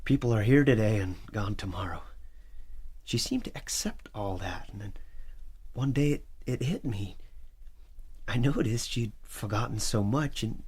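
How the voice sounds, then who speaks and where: A middle-aged father in an audio drama. His low, husky voice is weary and reflective, protective yet resigned. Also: unhurried, darkish tone. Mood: reflective, grieving.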